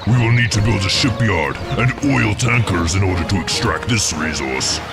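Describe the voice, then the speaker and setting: A man playing a loyal, dutiful orc underling in a fantasy game, with a gravelly voice. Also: on the low side, animated, normal speed. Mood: dutiful alertness. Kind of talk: fantasy game cutscene acting. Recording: music under the voice.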